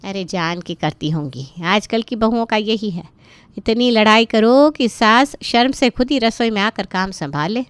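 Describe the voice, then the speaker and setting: A woman's voice: mid-range voice, unhurried, clear, resonant tone, no room sound, natural voice. A woman voicing an older gossipy friend in a cartoon story, blunt, knowing and chiding. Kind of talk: animated moral story dialogue.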